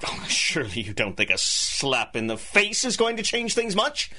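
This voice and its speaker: Smug male melodrama heavy: theatrical, condescending, swinging wildly in pitch over clearly noisy audio. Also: wildly swooping pitch, normal speed. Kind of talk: melodramatic radio drama acting.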